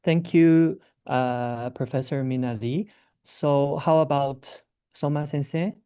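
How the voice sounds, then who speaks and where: Moderator opening an online session, formal and steady, mellow mid voice. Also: medium pitch, takes it slowly, clean tone. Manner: formal, announcing.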